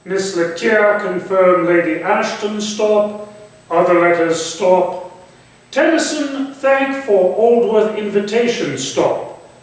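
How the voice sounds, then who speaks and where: An imperious Victorian stage chief, played by a man, declaims clipped orders in a high voice at a slow, measured pace. He sounds like a lecturer, heard from far off in a very echoey hall. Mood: commanding, brisk. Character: imperious Victorian actor-chief dictating curt telegram orders. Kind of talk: theatrical dictation in a staged reading. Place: indoor theatre space, staged reading. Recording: very echoey hall, distant mic.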